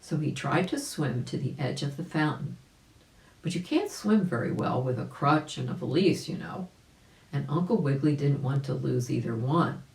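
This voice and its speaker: A woman's voice: sits low, animated, normal speed, vocal fry, darkish tone. A woman narrating a children's story, explaining things and speaking directly to young listeners. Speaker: volunteer storybook reader. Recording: echoey room.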